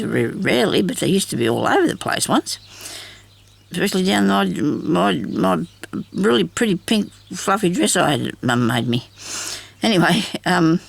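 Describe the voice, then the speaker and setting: An older woman with a deep, raspy voice, chatting casually and laughing at herself while she does craft work. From Australia. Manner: chatty, self-deprecating, laughs often. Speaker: craft vlogger.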